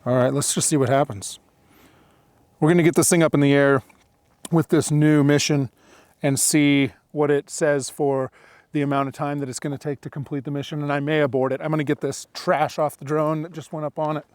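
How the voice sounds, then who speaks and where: A man's voice: on the high side, little pitch movement, a little fast, a little mellow, no room sound. A middle-aged man giving casual running commentary as he hosts a gear demonstration outdoors. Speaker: drone pilot and video host. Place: outdoors in open dry hills.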